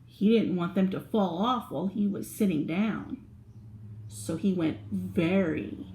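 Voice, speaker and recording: Woman, children's storyteller, playful, slow, echoey room. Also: fairly low pitch, warm tone, a little hiss or hum. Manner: playful, sing-song, talks to young listeners. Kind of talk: reading a picture book aloud to children.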